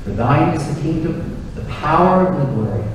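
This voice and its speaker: Male clergyman for formal Eucharistic prayer: solemn, slightly high voice, distant in a very echoey hall. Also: animated, normal speed. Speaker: Anglican priest. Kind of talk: liturgical prayer recitation. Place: inside a church at the altar. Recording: echoey church hall, distant, faint noise.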